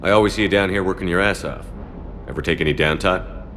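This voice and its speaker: An actor playing a steady, authoritative military commander in a video game, speaking in a direct, clipped manner. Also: male voice, deep voice, fast, a little hiss or hum. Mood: concerned. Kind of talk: video game dialogue acting.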